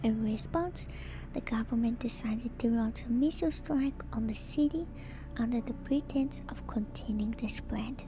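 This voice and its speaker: A woman streamer doing a whispered ASMR reading with casual commentary, musing in a hushed, drawn-out way. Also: fairly high pitch, background noise. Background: background music.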